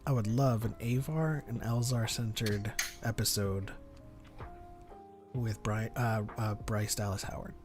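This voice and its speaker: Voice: medium pitch, fairly even intonation, a little slow, music in the background. A podcast host chatting casually in a fan discussion. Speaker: podcast host reading viewer chat.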